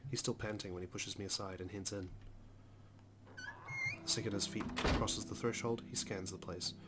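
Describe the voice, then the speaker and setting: A man's voice: on the low side, steady tone, normal speed, very noisy. A man narrating a visual novel as he plays, reading the story aloud with casual asides.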